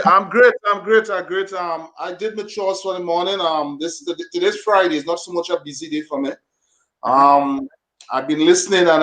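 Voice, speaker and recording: A man talks as a guest on a show, rambling and filling pauses with ums. His voice sits high. The sound carries a roomy echo. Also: fairly bright tone. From Grenada. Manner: rambling, filler-heavy. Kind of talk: talk show conversation.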